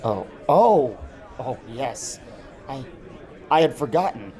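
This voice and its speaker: A man playing a gentle, absent-minded scientist husband in an old time radio drama, musing and hesitant. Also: mid-range voice, sing-song, slow pace, some chatter behind. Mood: concerned, resigned.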